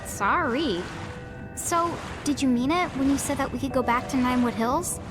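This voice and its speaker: Woman as teen game heroine, high voice, sensible but exasperated big-sis energy, loud music behind. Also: normal speed, natural voice. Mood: relieved, wary. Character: sensible teenage twin sister, wary, easily exasperated heroine. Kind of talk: video game cutscene acting.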